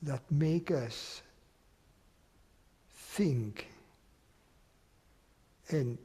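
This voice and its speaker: Slow, soft-spoken man guiding meditation. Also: fairly high pitch, husky, in a large hall. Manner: slow, unhurried, with long pauses. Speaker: meditation teacher. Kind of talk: guided meditation.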